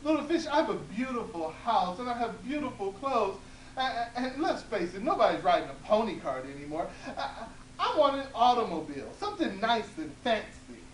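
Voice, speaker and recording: Brief: high, shrill old woman voiced by a female storyteller, grasping and insistent, heard far off in an echoey room. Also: very animated, fairly quick. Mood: eager. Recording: echoey room, distant mic, hum.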